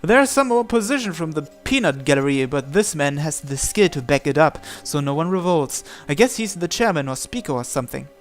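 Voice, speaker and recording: A man's voice: on the high side, lively intonation, fairly quick, few pauses, faint music behind. A man reading visual novel narration and dialogue aloud.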